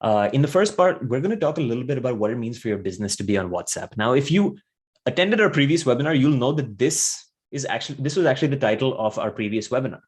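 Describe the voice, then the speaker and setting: A man's voice: medium pitch, expressive, fast. A young man hosting a live marketing webinar, chatty and rambling, full of fillers and restarts, speaking into a computer mic. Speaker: webinar host, content marketer.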